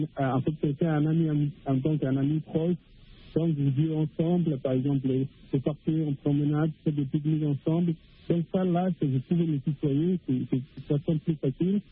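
A man's voice: fairly high pitch, breathy edge. Man speaking over a tinny phone line. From Africa. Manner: halting, many restarts and fillers. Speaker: radio caller. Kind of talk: phone-in radio discussion.

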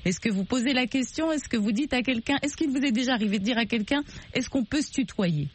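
A woman's voice: fairly high pitch, expressive, quick pace, husky. A woman hosting a call-in radio show, asking probing questions and rephrasing them when unclear.

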